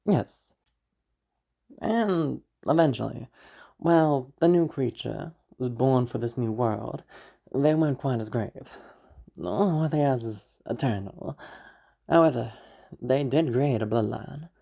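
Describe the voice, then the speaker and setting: Woman performing a very low, courteous storytelling machine. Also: creaky, mellow, slightly rough, heavily acted. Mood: stately, courteous. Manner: measured, courteous, theatrical. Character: formal magical storytelling machine that glitches into menace. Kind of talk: scripted narration.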